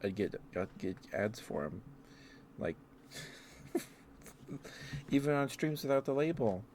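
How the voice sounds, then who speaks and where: A man's voice: mid-range voice, animated, takes it slowly, darkish tone. A man livestreamer rambling casually in an ASMR chat. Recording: clear background noise.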